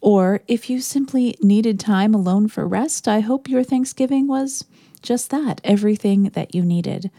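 A woman's voice: medium pitch, a little slow, dark tone, no echo, clean studio sound. A woman, a minister hosting a podcast, giving a scripted introduction with casual asides. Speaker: podcast host and church minister.

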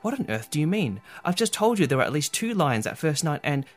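Scared little boy voice, higher and anxious, a man putting it on while reading, faint music in the back. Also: sing-song, a little fast. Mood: indignant. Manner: anxious, pleading. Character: frightened, hungry young boy lost at night.

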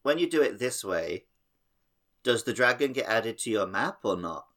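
A man's voice: medium pitch, very animated, normal speed, fairly bright tone. A man co-hosting a podcast, discussing a game in a casual, conversational style. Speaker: podcast host.

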